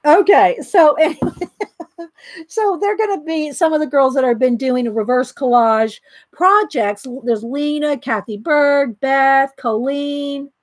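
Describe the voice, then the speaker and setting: A woman's voice: high voice, measured pace, no room sound. A woman hosting a live art stream, chatting and giggling with viewers by name while reading from a book at her craft table. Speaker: art livestream host.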